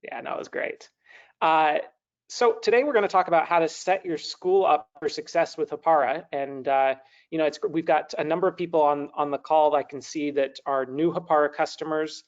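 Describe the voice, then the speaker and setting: A man's voice: on the high side, steady tone, a little fast. A man in his thirties hosting a webinar on a video call, upbeat and rambling with frequent restarts. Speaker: webinar host. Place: home room with brick wall, indoors. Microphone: video call, laptop mic. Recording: dull lo-fi video call audio.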